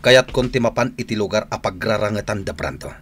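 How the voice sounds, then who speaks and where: An actor playing an impatient, commanding gang boss in an Ilocano radio drama. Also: male voice, fairly low pitch, a little fast, slight background noise, lightly acted. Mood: restless, worried.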